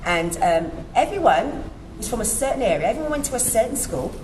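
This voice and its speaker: A woman's voice: a little high, very animated, fairly quick. A woman speaking emphatically and with passion as a panelist in a group discussion. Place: room at a youth forum event. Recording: echoey room with background noise.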